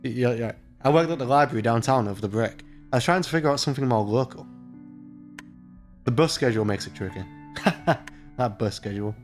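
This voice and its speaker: A man hosts a let's play, reading story text aloud and breaking off into irreverent, sweary commentary. His voice sits at an average male pitch, with guitar music playing behind it. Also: fairly quick. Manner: irreverent, sweary, mocking asides. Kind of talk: joking commentary and read-aloud of a visual novel.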